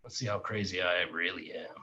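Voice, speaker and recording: A man's voice: low voice, steady tone, a little fast, pushed and bright. A man co-hosting a podcast in casual, opinionated banter, swearing freely. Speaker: podcast co-host. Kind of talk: casual banter among friends. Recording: dull lo-fi audio with background noise.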